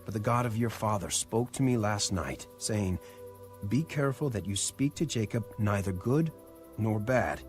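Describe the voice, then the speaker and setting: A man's voice: lowish voice, normal speed, faint music behind, natural voice. A man playing an aggrieved biblical father-in-law, stern and declamatory, in a dramatized audio Bible. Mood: stern warning.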